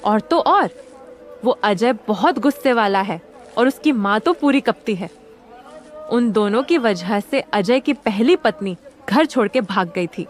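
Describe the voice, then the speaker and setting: A woman's voice: on the high side, animated, unhurried. A woman voicing a frank, gossiping young village friend in a cartoon story, with an exclaiming manner. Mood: alarmed warning. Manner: exclaiming, warning.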